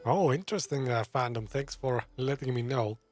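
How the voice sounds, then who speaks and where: A man's voice: medium pitch, moderate pace, a little mellow, soft background music. A man giving casual, offhand and irreverent live commentary as he plays a game. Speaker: game streamer.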